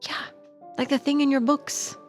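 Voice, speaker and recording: A woman's voice: sits high, flat delivery, normal speed. A woman playing a tabletop roleplaying game, chatting in character in a casual, hesitant way peppered with filler words. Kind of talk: casual in-character improvised chat. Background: background music.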